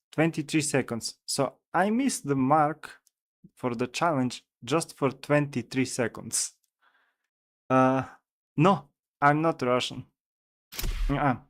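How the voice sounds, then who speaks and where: Slow-talking, halting European male streamer. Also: fairly high pitch. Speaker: game streamer. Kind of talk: casual live-stream commentary while driving.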